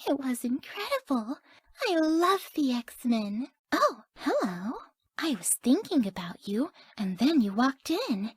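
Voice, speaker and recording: High, dreamy, sweet young woman. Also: big pitch swings, slow, no room sound, exaggerated voice. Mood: happy, affectionate. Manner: soft, airy, gentle, often dropping to breathy near-whispers. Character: sweet, dreamy, scatterbrained young woman, sleepy and easily confused. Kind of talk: video game character voice lines.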